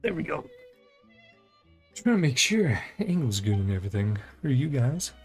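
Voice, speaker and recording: A man's voice: medium pitch, big pitch swings, normal speed, soft background music. A man streaming a video game, giving casual, rambling, laid-back commentary as he plays.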